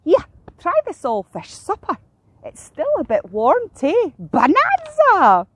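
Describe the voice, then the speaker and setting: Brash scottish seagull for a storybook, really high and screechy, cheeky cackler, swoops all over. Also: female voice, wildly swooping pitch, slow, no echo, exaggerated voice. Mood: excited. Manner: blunt, cackling, chummy. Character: brash, cheeky, mocking young female seagull.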